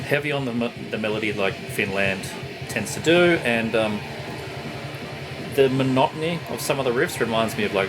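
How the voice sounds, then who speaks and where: A man's voice: mid-range voice, poor audio. A man giving a casual, unscripted review in an enthusiastic, rambling way. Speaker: music reviewer presenting a video.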